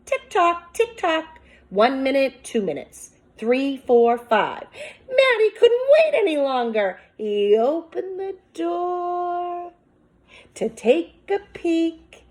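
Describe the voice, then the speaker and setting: A woman's voice: extremely high pitch, expressive, slow pace, darkish tone. A woman speaking as a teacher, reading a picture book aloud with commentary and prompting young listeners with questions as if to a class. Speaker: teacher demonstrating a children's read-aloud.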